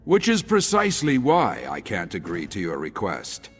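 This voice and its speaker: A man's voice: on the low side, huge pitch swings, normal speed, a bit bright, breathy edge, full character voice. A man playing a stern, haughty middle-aged general in a video game, with a sardonic, pompous delivery. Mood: stern, dismissive. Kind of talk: video game character dialogue. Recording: echoey hall reverb.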